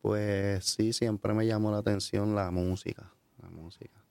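A man with a Puerto Rican accent speaks in a very low, gravelly voice. He talks in a flat, hesitant way, full of fillers and restarts. The sound is dry and close. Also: dry recording. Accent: Puerto Rican Spanish. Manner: halting, many fillers and restarts. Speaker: guest giving a testimony. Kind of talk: hesitant conversational storytelling.

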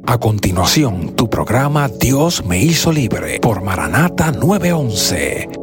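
A man reading scripted announcements in a smooth promotional delivery. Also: medium pitch, big pitch swings, takes it slowly. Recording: voice-over over loud music.

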